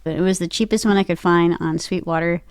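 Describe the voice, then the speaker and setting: A woman's voice: lowish voice, steady tone, brisk, crowd noise. A woman chatting casually as an interview guest, speaking into a podcast microphone. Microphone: dynamic podcast mic in a production room.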